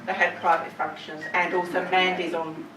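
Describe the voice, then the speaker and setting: A woman's voice: fairly low pitch, little pitch movement, normal speed, crowd noise. Woman in her 50s, company head toasting a colleague, heard from far off in an echoey room. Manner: chatty, apologetic, laughing asides. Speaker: company head giving a farewell speech. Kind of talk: informal toast speech at a party. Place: indoor function venue at a party. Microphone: far-off camera, no microphone.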